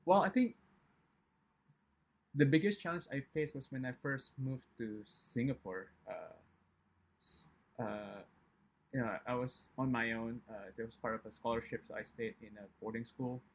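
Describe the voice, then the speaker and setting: An Indonesian-born man whose first language isn't English, talking in an interview. His mid-pitched voice has a slight huskiness. He speaks tentatively, filling pauses and restarting sentences as he thinks. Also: poor audio. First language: not English. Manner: hesitant, many fillers and restarts. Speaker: chemist guest. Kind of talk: conversational interview.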